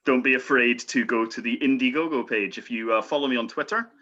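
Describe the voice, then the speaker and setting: A man's voice: mid-range voice, brisk, pushed and bright, lo-fi sound. A man chatting casually as a guest screenwriter on a podcast, wordy and politely tongue-in-cheek.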